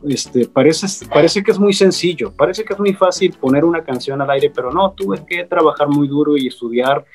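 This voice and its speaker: A man, speaking as a radio guest in a halting, reflective, conversational way and often repeating words. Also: mid-range voice, a little mellow, breathy edge. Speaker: radio guest, programmer and director. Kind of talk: conversational panel talk, reminiscing.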